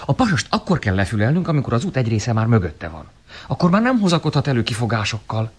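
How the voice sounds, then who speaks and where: A man's voice: medium pitch, very animated, husky. A male radio actor voicing a keen, eager boy detective who talks insistently. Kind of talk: radio play acting.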